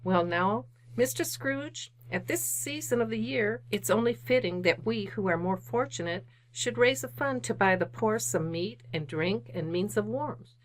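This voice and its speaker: Woman acting genteel, earnest charity lady, mid-pitched. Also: animated, unhurried, few pauses, a little hiss or hum, close to an everyday voice, poor audio. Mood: courteous, earnest. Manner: courteous, formal, persistent. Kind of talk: old-time radio drama acting.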